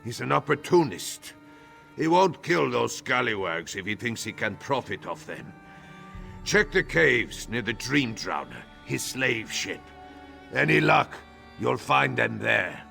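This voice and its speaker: An actor playing a gruff, roguish mercenary sea captain with a soft heart in a video game, blustery and grudging. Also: male voice, mid-range voice, sing-song, unhurried, soft background music, exaggerated voice. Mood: gruff, shrewd. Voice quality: gravelly, creaky. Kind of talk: video game character dialogue.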